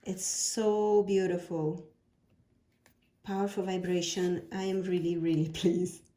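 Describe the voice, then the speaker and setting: A woman's voice: lowish voice, flat delivery, slow, dark tone, roomy sound. A woman from Brazil with a Brazilian Portuguese accent, speaking English as a second language and gushing as she unboxes her own oracle deck. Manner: gushing, repeats words of praise. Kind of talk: product unboxing vlog.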